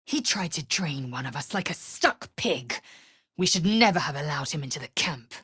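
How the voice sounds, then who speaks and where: A woman's voice: low-pitched, animated, normal speed, a bit bright, slightly rough, dry recording, clean studio sound, heavily acted. A woman playing a proud, fierce alien warrior in a fantasy video game, with a curt, imperious and disdainful delivery. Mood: commanding anger.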